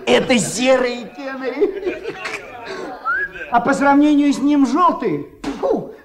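Актёр в радиоспектакле играет хвастливого, восторженного деревенского мужчину, любителя птиц и музыки, который говорит по-простецки и сбивчиво. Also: male voice, very high-pitched, very animated, takes it slowly, crowd noise, full character voice. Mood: boastful, excited. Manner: bragging, folksy, stumbling over words. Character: boastful excitable village man, bird lover and musician. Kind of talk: Soviet radio play acting. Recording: 1979 radio play with music.

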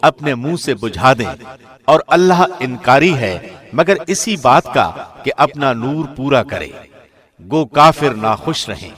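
A man formally reading a scripture translation in a measured, solemn radio presenter's manner. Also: medium pitch, lively intonation, a bit bright. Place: studio.

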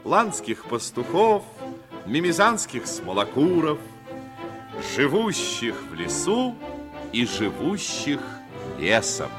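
A man narrating a 1950s radio drama as a storyteller, phrasing expressively and deliberately with dramatic pauses. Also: a little high, huge pitch swings, full character voice. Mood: lyrical. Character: male storyteller fond of the coast and its people. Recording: 1950s radio recording, faint music behind.

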